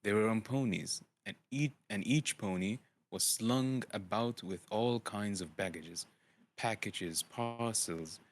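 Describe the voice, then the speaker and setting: A man with a low voice reads a fantasy storybook aloud as an amateur narrator. His delivery is informal and dramatic, with the odd stumble over words. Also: slow pace. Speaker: audiobook reader, streamer.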